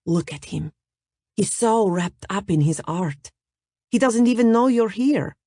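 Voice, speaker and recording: A woman's voice: medium pitch, lively intonation, unhurried, warm tone, no room sound, studio quality, pitched above the performer's own voice. A man voicing a seductive Russian supermodel with a thick Russian accent, speaking in a flirtatious, sultry way.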